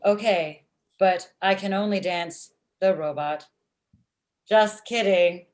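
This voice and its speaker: A woman's voice: medium pitch, slow pace, a little mellow, lightly acted. A woman voicing an earnest, literal-minded robot with stilted formal phrasing in a comedy table read over a video call. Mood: joking. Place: small room at home, video call. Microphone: video call computer microphone.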